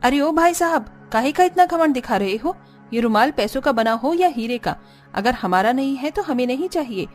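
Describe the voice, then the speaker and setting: A woman's voice: sits high, animated, normal speed, faint music behind. A woman voicing a proud, outspoken poor young village woman in a cartoon story. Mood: indignant. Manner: indignant, blunt, scolding.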